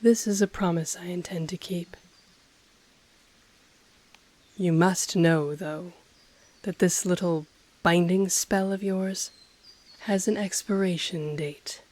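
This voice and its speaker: A woman voicing an ancient female dragon in an intimate audio roleplay, breathy and often whispering, haughty yet tender, with teasing, seductive, formally worded speech. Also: lowish voice, steady tone, a little mellow. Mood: possessive, tender. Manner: slow, teasing, formal archaic phrasing.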